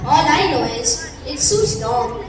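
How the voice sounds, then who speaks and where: A woman's voice: high-pitched, expressive, takes it slowly. A young girl playing a mischievous, wheedling schoolboy with a folksy, persuasive way of talking in a school play. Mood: smug, persuasive. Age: child or young teen. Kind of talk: children's school stage play. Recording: echoey hall from far off, crowd, noise.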